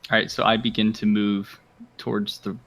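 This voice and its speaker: Gravelly, bright-voiced male player, lively and joking. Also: on the low side, normal speed. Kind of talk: casual play at a tabletop game.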